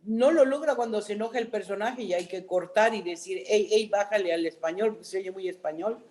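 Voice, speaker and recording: A middle-aged Mexican woman talking as a guest in an interview. Her voice sits at a middle pitch for a woman. She tells her stories with animation and often tags her sentences with a quick "¿no?". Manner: animated storyteller, frequent "¿no?" tags. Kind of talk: casual interview chat.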